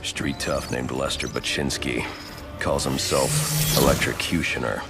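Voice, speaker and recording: An actor playing a grim masked vigilante detective in a video game, gravelly, terse and deadpan. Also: male voice, rumbling low, a little slow. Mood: briefing. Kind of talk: video game cinematic acting. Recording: loud music behind.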